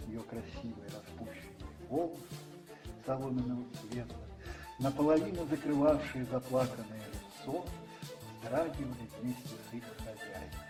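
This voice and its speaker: A man narrating a radio play dramatically from a script, in measured phrases with pauses. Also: medium pitch. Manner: measured, pausing between phrases. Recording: roomy echo, distant, faint music behind.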